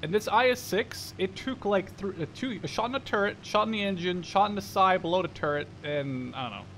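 A man's voice: high-pitched, fairly quick, a bit bright, slight background noise. A man chatting casually and self-deprecatingly with a teammate while playing a video game. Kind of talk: casual gaming chat with a teammate.

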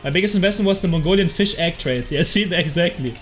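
Young German streamer, heavy accent, slightly high voice, faint game music behind, loose and sweary. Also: male voice, quick pace. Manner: excitable, swears freely, laughs at chat. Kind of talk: casual live game commentary, reading chat. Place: small room at home.

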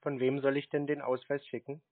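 A man's voice: mid-range voice, flat delivery, fairly quick, no room sound. Needling man on a thin phone line. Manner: feigned naive, needling, repetitive short questions. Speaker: prankster who baits phone scammers. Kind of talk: improvised prank phone call. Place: telephone call. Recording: thin dry phone-like sound.

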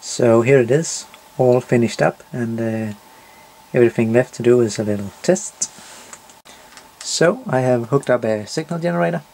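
A man's voice: mid-range voice, normal speed, vocal fry, darkish tone, slight background noise. A man narrating a step-by-step DIY tutorial in short, simple, matter-of-fact instructions.

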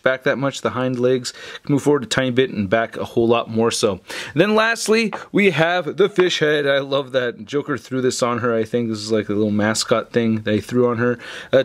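Male toy reviewer, average pitch, informal and chatty, casual hands-on review read.

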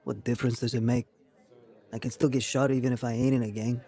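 An actor playing a tough, husky-voiced teenage boy in a gang, full of attitude, in a radio drama. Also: medium pitch, level tone, fast talker, mellow, natural voice. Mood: defiant.

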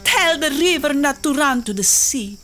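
An actress playing a proud, desperate young native woman in a full-cast audio drama, speaking in slow, poetic, impassioned pleas. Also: high voice, lively intonation, a bit bright, faint music behind. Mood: defiant.

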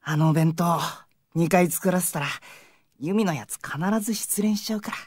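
A woman's voice: fairly low pitch, moderate pace, a little mellow, slightly rough. A woman playing a teasing, greedy, hapless teenage big brother in an anime-style audio drama, boyish and exaggerated. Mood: weary, deadpan. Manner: boyish, casual, comically exaggerated.

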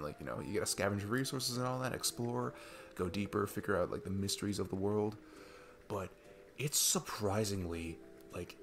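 A man playing a sweet, earnest, geeky single dad on a date, rambling and hesitant in an intimate ASMR roleplay. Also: lowish voice.

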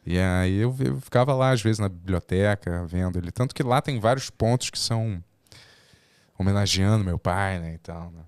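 A deep-voiced man with a Carioca accent speaks at a boom mic in a small studio. His sound is dry and close, and he talks in a loose, rambling way, often backtracking mid-sentence. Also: normal speed, smooth, no room sound. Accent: Carioca Brazilian Portuguese. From born in Portugal, raised in Rio de Janeiro. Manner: relaxed, rambling, self-correcting. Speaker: podcast guest. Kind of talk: casual chat. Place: small podcast studio, indoors. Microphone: boom mic and headphones.

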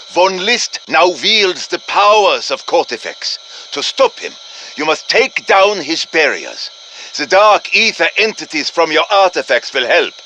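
A bright, commanding professor. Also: male voice, fairly high pitch, a little hiss or hum, exaggerated voice, low-quality recording. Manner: deliberate, dramatic, commanding. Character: urgent, commanding male professor guiding soldiers against demons. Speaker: game character voice, mission guide. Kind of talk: video game voice lines, scripted radio briefing.

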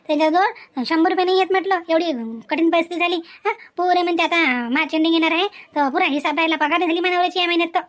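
A woman's voice: very high-pitched, quick pace, few pauses, a bit bright. A woman voicing a vain, calculating young daughter-in-law in a family cartoon, muttering complaints in a Varhadi Marathi accent. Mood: complaining. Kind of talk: cartoon family comedy acting.

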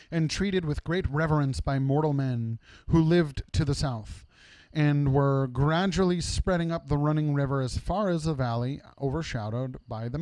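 A proud dwarf lord from a storybook, put on by a male reader. He holds forth in a pompous, grandiose way, self-important throughout, in a fairly high voice recorded dry. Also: darkish tone, no room sound, natural voice.

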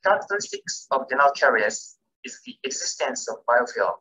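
A man whose first language is Japanese, reading a scripted academic lecture as keynote speaker in a careful, measured way. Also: medium pitch, huge pitch swings, sharp tone, muffled recording. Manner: careful, measured reading with non-native grammar.

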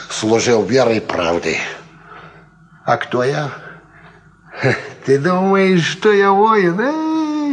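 An actor in a Soviet radio play playing a drunken, boastful old ex-soldier farmhand, rambling from mutters to shouts. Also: male voice, medium pitch. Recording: 1950s Soviet radio drama recording.

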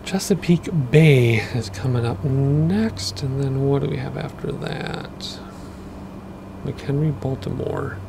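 A man, rambling casually through gameplay commentary with lots of fillers, his voice a bit hoarse from losing it. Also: mid-range voice, slow, noisy background. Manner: rambling, chatty, with many fillers. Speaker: flight sim gaming host.

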